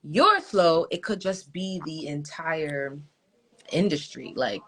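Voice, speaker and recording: A young American woman, a soca artist guest, chatting casually with many ums and quick laughs. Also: deep voice, fairly bright tone. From United States. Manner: chatty, laughs easily, thinks aloud with ums. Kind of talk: casual interview chat.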